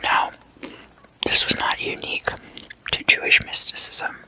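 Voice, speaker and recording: A very crisp, sharp whisper with no voice behind it, breathy all the way through. The ASMR host takes it slowly, explaining in short, halting phrases with an informal, casual manner.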